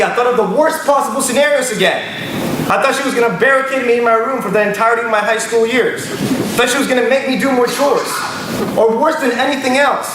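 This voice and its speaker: A young man from Colombia giving a prepared contest speech in a large hall, telling a story with humor and dramatic pauses. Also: sits high. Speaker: contest speaker. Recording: very echoey hall, loud room noise, distant mic.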